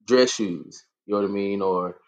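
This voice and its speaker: A man speaking thoughtfully in a casual panel discussion, pausing often with fillers. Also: fairly low pitch, dull audio, noisy background. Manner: thoughtful, reflective, lots of fillers.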